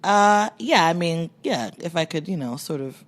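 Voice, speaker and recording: A woman's voice: lowish voice, lively intonation, normal speed. A woman in casual podcast conversation as a co-host. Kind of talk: casual chat.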